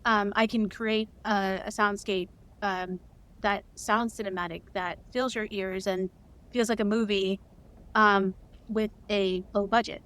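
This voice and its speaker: A woman's voice: medium pitch, fairly even intonation, measured pace, fairly bright tone. A woman guest on an interview, an audio drama creator, speaking enthusiastically with frequent ums. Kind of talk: casual interview answer.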